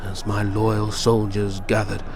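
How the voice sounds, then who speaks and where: A grave prince of legend, played by a man, tells his own tale in formal, dramatic fashion. His delivery is very slow with a heavy gravelly creak, set against clearly audible ambient noise. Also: on the low side, monotone. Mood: solemn, steady. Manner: grave, measured, formal storytelling. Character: Vlad, male vampire prince solemnly recounting his past. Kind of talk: dramatic first-person audio drama narration.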